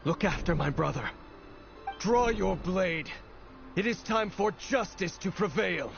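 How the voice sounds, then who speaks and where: A righteous rebel commander in a video game, high for a male voice and gravelly. He speaks solemnly and with command, in slightly dull audio with faint music. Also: animated, a little slow, heavily acted. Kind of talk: scripted game dialogue.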